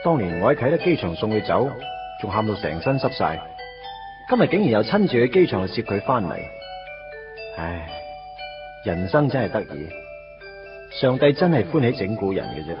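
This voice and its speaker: A wry young Hong Kong man in a Cantonese radio drama, self-mocking and conversational. His intonation is lively and wide-ranging. Music plays behind his slightly dull-sounding voice. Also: on the low side, normal speed, pauses often. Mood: wry, wistful. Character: wry, put-upon young man recalling his first love. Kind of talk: radio drama narration and dialogue.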